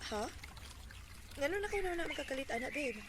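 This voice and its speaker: Casting: a high-pitched, trusting, hardworking young Cebuana for radio drama; heavily noisy recording. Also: female voice, expressive, moderate pace. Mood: questioning, caring. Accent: Filipino, Cebuano. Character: hardworking, trusting young woman, fresh college graduate. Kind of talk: Bisaya radio drama acting.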